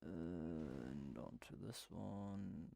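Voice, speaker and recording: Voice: sits low, drawn-out words, creaky, dark tone. A video game streamer giving casual, rambling commentary while thinking aloud during play. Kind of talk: casual gameplay livestream commentary.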